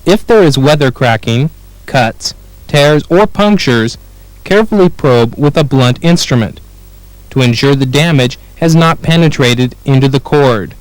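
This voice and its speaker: A man with an American accent reading scripted instructional narration for a training film in a clear, measured way. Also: mid-range voice, expressive, no room sound, low-quality recording.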